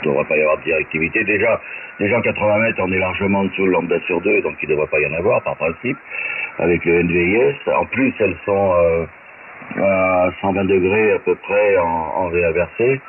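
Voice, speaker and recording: A man's voice: sits low, a little fast. A man speaking as an amateur radio operator in a casual technical chat, hesitant and thinking aloud, over a shortwave transmission. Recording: very noisy narrow-band shortwave radio. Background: loud radio static.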